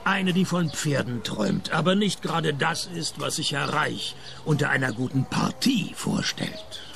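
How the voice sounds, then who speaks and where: A man's voice: on the high side, unhurried, vocal fry, noisy background. A man with a Swiss German accent playing a dry, sardonic private detective who narrates a radio play. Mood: deadpan. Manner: deadpan, sardonic.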